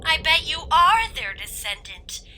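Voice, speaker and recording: A woman's voice: sits high, very animated, a little slow, pushed and bright, slight background noise, exaggerated voice. A woman playing a scheming alien zealot and traitor in an audio drama, with a haughty, gloating, theatrical delivery. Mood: contemptuous, zealous.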